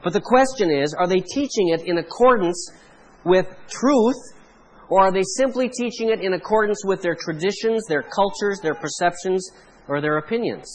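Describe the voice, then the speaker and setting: A Canadian pastor delivers a sermon in a fairly high male voice. He speaks in a measured way and leans on pauses to stress his points. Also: poor audio. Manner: measured preaching with emphatic pauses.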